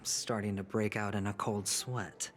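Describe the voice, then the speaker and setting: An actor playing a cocky, scheming gambler in a video game, with a wry, teasing and theatrical delivery. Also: male voice, on the low side, level tone, a little slow, lightly acted. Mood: wry unease. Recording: background music behind dialogue. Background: game music.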